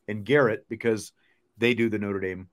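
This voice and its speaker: A man's voice: on the low side, fairly even intonation, moderate pace. A man hosting a sports podcast, chatting casually and thinking aloud as he answers viewer comments.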